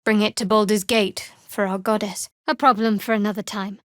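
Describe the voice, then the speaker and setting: An actress playing a guarded, sardonic young female cleric of a dark goddess in a video game, with dry, measured delivery. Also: medium pitch, flat delivery, no echo, close to an everyday voice. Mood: composed.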